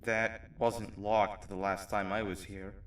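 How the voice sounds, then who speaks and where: A man playing a weary, guarded former worker in a video game, speaking in a measured, understated and reflective way. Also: lowish voice, little pitch movement. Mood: matter-of-fact. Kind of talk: video game character dialogue. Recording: roomy, distant, noisy game ambience.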